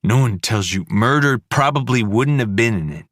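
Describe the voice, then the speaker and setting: A man voicing a tearful, remorseful aging father who pleads in halting bursts. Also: medium pitch, expressive, a bit bright, no room sound, studio quality, voice pushed up. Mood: pleading.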